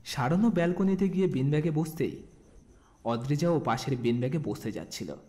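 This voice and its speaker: A man's voice: fairly high pitch, lively intonation, a little fast, warm tone. A man reading scripted narration as the storyteller of an audio story. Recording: music bed under the voice.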